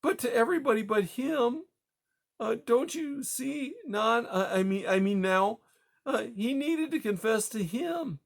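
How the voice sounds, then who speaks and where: Tearful grieving woman, read by a man, high pitched, halting and breathless. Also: lively intonation, creaky, full character voice. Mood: anguished. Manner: breathless, stammering, rising to hysteria. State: crying.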